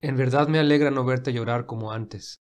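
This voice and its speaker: A caring gentleman in love acted by a man speaks politely and with measured sincerity. His voice sits at an average male pitch, suited to the gentle hero of an audio romance. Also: close to an everyday voice. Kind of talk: dramatized romantic fan fiction.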